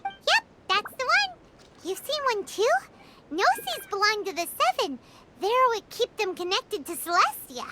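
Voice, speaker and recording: A woman voicing a tiny, childlike, excitable female fairy companion with exclamatory delivery in a video game. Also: very high voice, a little slow, heavy background noise, heavily acted. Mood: relieved, chatty. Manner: exclamatory, refers to self in third person. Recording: music playing behind the voice.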